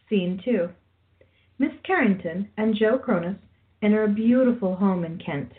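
A woman narrates a radio play from a script, setting scenes. Her pitch is medium. The recording is narrow and muffled, like low-grade online audio.

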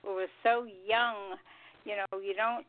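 Unhurried older woman on a tinny phone line. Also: medium pitch, steady tone, no room sound. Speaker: radio show co-host. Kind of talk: casual chat.

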